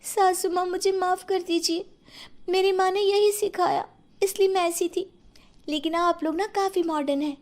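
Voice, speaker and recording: A woman's voice: very high voice, fairly even intonation, moderate pace. A woman playing a shy, meek young daughter-in-law who speaks in a timid, pleading way in an acted story. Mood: apologetic. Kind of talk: acted Hindi moral story.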